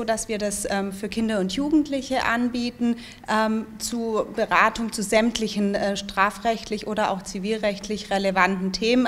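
A young woman with a medium-pitched voice explains as an interview guest. A handheld microphone picks her up indoors with a little background noise. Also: a bit bright. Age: young adult. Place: small indoor information room with bookshelves. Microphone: handheld microphone held out to her.

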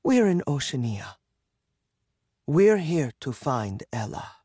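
A man plays a young woman captor in an audiobook thriller, pitched somewhat high over a close, dry recording. She is cool, tough and unflappable, speaking matter-of-factly with quiet menace. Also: big pitch swings, slow, dry recording, full character voice. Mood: amused, calm.

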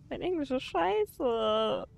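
A woman's voice: high-pitched, gravelly. A young woman streaming a game, chatting casually with viewers and laughing often. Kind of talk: casual let's play chat.

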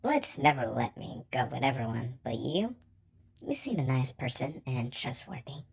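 A woman voicing a young female hellhound, teasing and guarded with a soft side, in an amateur comic dub. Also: a bass voice, big pitch swings, moderate pace, creaky, husky, heavily acted. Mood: fond, bashful.

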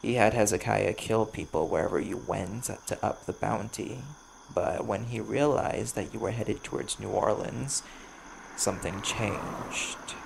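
A man voicing a wary, desperate vampire bounty hunter in an audio roleplay, blunt and guarded, with a gravelly voice. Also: fairly low pitch, a little slow, low-quality recording. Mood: cautious, urgent. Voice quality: gravelly, creaky. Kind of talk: ASMR audio roleplay acting. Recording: clear background noise, chirping crickets.

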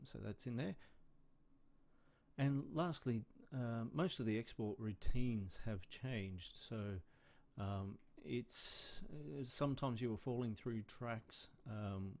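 A man with a fairly low voice talks through a software demo over a screen recording. He is relaxed and unhurried, often pausing on fillers and restarting his sentences. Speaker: software developer.

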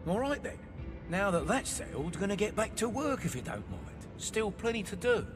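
High-voiced cheeky British crewman. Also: sing-song, normal speed. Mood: chummy, wry. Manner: colloquial, chummy. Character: chatty, cheeky working-class male crewman in sci-fi game. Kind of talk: video game cutscene acting. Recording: music under the voice. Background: music.

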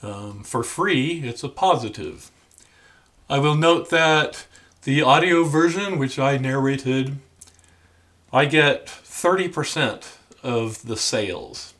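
An older man, around his sixties, talking casually to the camera in a deliberate, halting, dry manner. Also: medium pitch, a bit bright. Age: older, about 60s. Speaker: memoir author addressing viewers. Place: small room at home, indoors.

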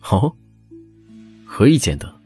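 An actor playing a calm, calculating older prince in an audio drama, composed and understated in manner. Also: male voice, slow pace, faint music behind, close to an everyday voice. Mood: cool, amused.

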